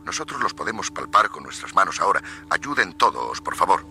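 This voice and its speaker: An actor playing a commanding doctor who leads an expedition in a radio drama, giving urgent orders with authority. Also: male voice, low voice, very animated, fairly quick, sharp tone, a little hiss or hum, heavily acted. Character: commanding male doctor leading a miniature submarine expedition. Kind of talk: Spanish-language radio theatre acting.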